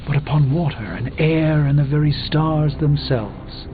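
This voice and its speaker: An actor playing a middle-aged, erudite sorcerer mentor in a video game, speaking with formal, grandiose eloquence and dry wit. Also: male voice, a little high, expressive, unhurried, phone-quality sound. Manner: theatrical, eloquent, formal, wry. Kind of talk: video game character dialogue. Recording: game dialogue over prominent music.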